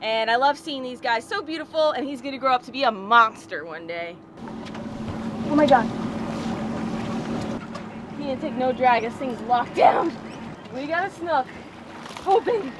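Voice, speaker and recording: High-pitched, excitable American woman vlogger. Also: moderate pace, long pauses, background noise. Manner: excitable, exclamatory, informal. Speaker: fishing show host. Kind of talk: casual vlog chat, explaining to viewers. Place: outdoors on a small open fishing boat on water. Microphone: action camera at arm's length or a few feet away.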